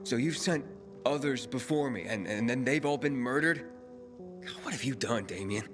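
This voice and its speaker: A man's voice: medium pitch, moderate pace, music in the background, lightly acted. Man acting wary, blunt young survivor. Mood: accusing. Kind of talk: video game voice acting.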